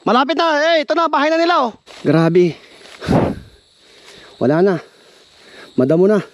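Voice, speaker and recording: A man vlogging as he walks through an overgrown yard, chatting to viewers as he guides them along, sometimes going quiet and hushed. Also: sits high, big pitch swings, moderate pace, dull audio. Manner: chatty, guiding viewers, hushed when uneasy. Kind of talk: casual tour narration. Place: overgrown rural yard outdoors.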